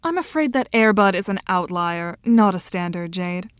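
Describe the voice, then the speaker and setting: A woman's voice: on the low side, animated, moderate pace, soft background music, natural voice. A woman voicing a composed, formally spoken girl in an audio drama.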